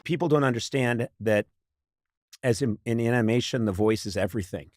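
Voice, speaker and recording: A man's voice: medium pitch, fairly quick, a little mellow. A man talking casually as an interviewee.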